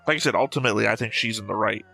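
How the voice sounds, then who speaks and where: A man's voice: on the low side, racing, vocal fry, pushed and bright, soft background music, poor audio. A man co-hosting a reaction show with casual, blunt, opinionated commentary and free swearing.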